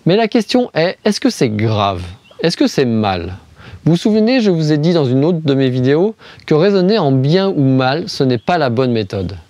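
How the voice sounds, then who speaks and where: Higher-voiced man arguing a point. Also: very animated, normal speed, darkish tone, no room sound. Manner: argumentative, with ironic asides; poses questions then answers them. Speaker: video host. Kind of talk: scripted explainer monologue.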